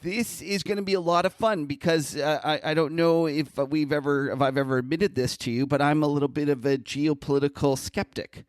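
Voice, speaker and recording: Male podcast co-host, casual interviewer, slightly high voice, halting easygoing delivery, dry close sound. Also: steady tone, no echo. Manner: easygoing, halting, restarts sentences.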